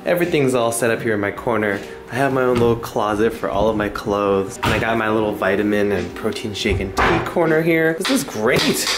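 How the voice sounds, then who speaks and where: A man in his thirties chatting casually and excitedly to a handheld camera as a travel vlogger, upbeat and gushing. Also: on the low side. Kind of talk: casual vlog chat to camera. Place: handheld travel vlog, outdoors and in rented rooms. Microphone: camera at arm's length. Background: music.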